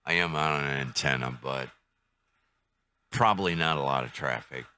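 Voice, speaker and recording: An older man giving a casual hands-on product review, thinking aloud in an unhurried, tentative way. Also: very deep voice, pushed and bright, clear, resonant tone. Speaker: radio reviewer.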